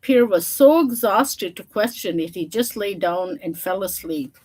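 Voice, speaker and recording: A woman reads a children's picture book aloud at a slow, unhurried pace. She moves between narration and casual explanation, chatting to her listeners about the pictures. Her pitch sits in a middle range for a woman. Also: lively intonation, a little mellow, slight background noise. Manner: informal, chatty asides to listeners, showing pictures. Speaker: storyteller.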